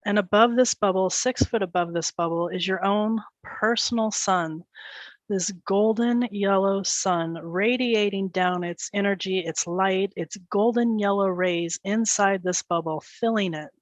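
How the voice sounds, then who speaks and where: A woman leading a guided meditation, speaking gently and soothingly in short phrases with pauses between them. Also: medium pitch, no echo.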